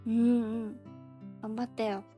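A woman playing a reserved, soft-spoken teenage girl in an improvised radio drama, answering briefly and hesitantly. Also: a little high, flat delivery, moderate pace, faint music behind, lightly acted. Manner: quiet, brief, hesitant replies.

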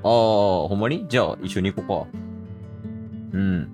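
A man's voice: low voice, normal speed, music in the background, lightly acted. A man playing an earnest, smitten teenage boy with a Kansai accent in an amateur radio drama. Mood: casual, awkward.

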